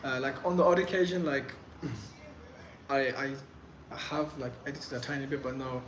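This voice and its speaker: A young man whose first language is Pashto chats loosely through a live Q&A, stringing his answers together with plenty of "like" and restarts. His phone picks him up in a roomy, echoey space with a clear hum of noise behind him. His pitch sits in an ordinary middle range. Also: a little slow. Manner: loose and chatty, lots of "like" and restarts. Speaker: livestream host answering chat questions. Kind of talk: casual live Q&A. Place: in Medellín, Colombia.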